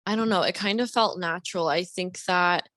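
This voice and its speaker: A woman's voice: lowish voice, normal speed, a bit bright, dry recording, studio quality. A young woman as a podcast guest, talking in a rambling, reflective way with many fillers. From Deep South, US. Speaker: guest author. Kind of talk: casual podcast conversation.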